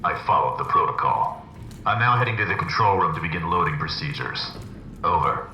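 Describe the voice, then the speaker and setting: An actor playing a gruff, cynical special agent in a video game, speaking in terse, sardonic military reports. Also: male voice, deep voice, expressive, fairly quick, sharp tone, lo-fi sound, full character voice. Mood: terse, businesslike. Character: gruff, cynical male special agent, hardened war dog. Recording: echoey room, clear background noise.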